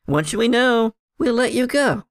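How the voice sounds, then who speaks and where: A man voicing a calm male captor who questions a prisoner, pausing and hesitating with fillers. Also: very high-pitched, creaky, no room sound, clean studio sound, pitched above the performer's own voice, heavily acted. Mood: coolly insistent.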